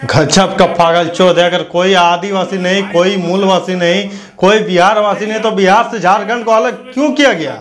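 Guy in his 30s, high voice, sweary scornful political rant into a lapel mic. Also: fairly even intonation, normal speed, hardly any pauses. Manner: scornful, abusive, confrontational. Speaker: news commentator. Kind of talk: combative political rant to camera.

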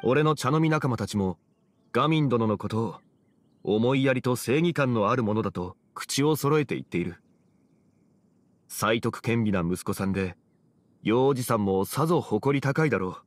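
A male actor as a composed young gentleman of an anime game. His mid-range voice is measured and courteous, with formal, old-fashioned phrasing that sounds wise beyond his looks. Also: mid-range voice, animated, no echo, natural voice. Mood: thoughtful, reassuring.

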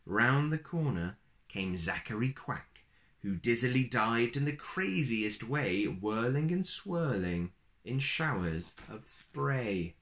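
Slow-paced man reading to children. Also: medium pitch. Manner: expressive, slow, deliberate phrasing for young children. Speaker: storyteller and host of a children's story channel. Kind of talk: children's picture-book read-aloud. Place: small plain room indoors.

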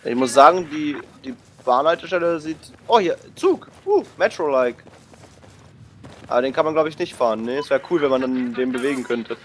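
A man's voice: medium pitch, animated, moderate pace, a little hiss or hum. A young man casually commentating a game with a friend, using slang and English exclamations. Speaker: gaming video commentator. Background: shooter game gunfire and ambience.

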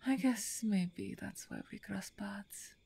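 A woman playing a big, wounded half-orc in an ASMR roleplay, weary and halting, breathy and often whispering, weak with pain. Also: medium pitch, mellow, exaggerated voice. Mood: weary, wry.

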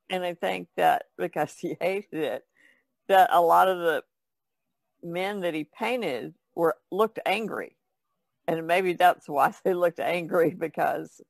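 Very creaky, higher-pitched man's voice. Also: dry recording. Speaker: podcast panelist. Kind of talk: casual discussion.

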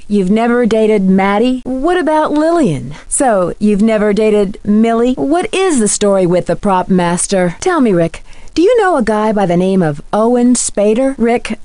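Game role: teasing, curious young American female sleuth. Fairly high pitch, dry studio sound. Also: a little mellow, no echo. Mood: inquisitive, wry. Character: bright, inquisitive, teasing young woman amateur detective. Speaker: video game voice actor. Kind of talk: scripted game dialogue and letter narration.

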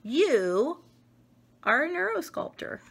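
A middle-aged woman reading a children's picture book aloud in an expressive way, with small asides, recorded in a room at home. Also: high voice, slow, creaky. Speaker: storybook reader. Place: room at home with wooden cabinets.

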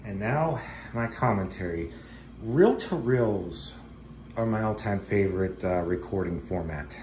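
A man's voice: on the low side, a little slow. A middle-aged man giving a casual, rambling demonstration as a vintage gear hobbyist. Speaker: vintage tape recorder collector demonstrating a machine. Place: home dining room. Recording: roomy echo, steady background noise.

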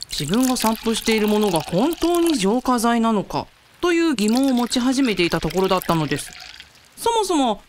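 A woman's voice: on the high side, slight background noise, lightly acted. A woman playing a polite, anxious young female research scientist in a radio drama.

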